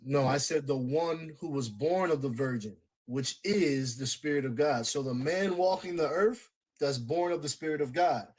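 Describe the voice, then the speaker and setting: A man's voice: a little high, normal speed, few pauses. A man preaching in a religious debate, insistent and repetitive, cutting in to keep the floor.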